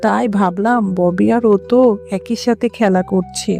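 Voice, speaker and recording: A woman's voice: medium pitch, measured pace, creaky, warm tone. A woman voicing a harried, chiding fox mother in a children's cartoon. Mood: defensive. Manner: chiding, explaining herself. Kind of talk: children's animated fable acting. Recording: music under the voice.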